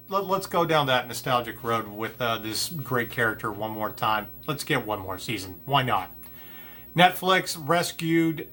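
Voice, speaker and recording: A man hosting an entertainment show, talking casually to his audience in a halting, conversational way, often repeating phrases. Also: medium pitch, bright, forceful tone. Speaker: host of an entertainment news show. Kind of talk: casual opinion talk to the audience.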